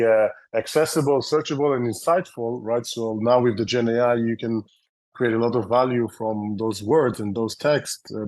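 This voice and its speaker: A man with an Israeli accent speaks at an ordinary middle pitch, a founder talking through his story in an interview. His answers ramble and restart, full of fillers and self-corrections. The sound is dry and close, with no room echo. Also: a little mellow. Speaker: company founder and CEO.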